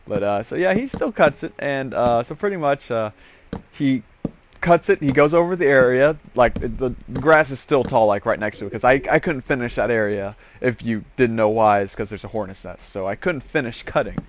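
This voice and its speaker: A man telling a casual story as he hosts gameplay, rambling and halting, often repeating and restarting his phrases. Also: mid-range voice, slight background noise. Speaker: host of a gaming series.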